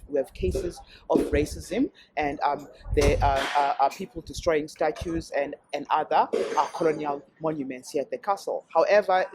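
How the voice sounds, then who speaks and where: A man, a guide answering interview questions with many ums and restarts. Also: on the high side. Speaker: castle guide. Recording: noisy recording.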